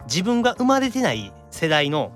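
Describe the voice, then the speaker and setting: A male podcast host with a Kansai Japanese accent, chatting and making announcements in an animated, reactive radio style. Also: high-pitched, moderate pace, faint music behind. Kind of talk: casual radio banter and announcements.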